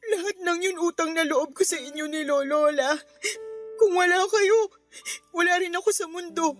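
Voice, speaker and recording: A woman's voice: extremely high pitch, normal speed. A woman playing a devoted, hurt young granddaughter in a radio drama, pleading and emotional. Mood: tender, grateful. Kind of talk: Tagalog radio drama acting. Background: background music.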